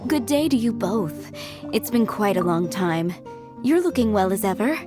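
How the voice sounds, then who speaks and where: Woman acting polite head maid from a video game, medium pitch, proper and measured, faint music behind. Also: animated, lightly acted. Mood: courteous. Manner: formal, courteous, measured. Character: polite, composed adult female head maid of a winery.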